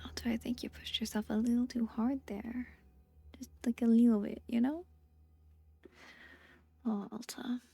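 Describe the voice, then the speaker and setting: High-voiced woman gaming over music. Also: flat delivery, normal speed, gravelly, pauses often, warm tone, husky, no room sound. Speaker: video game streamer reading dialogue aloud. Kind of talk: chatty let's-play commentary.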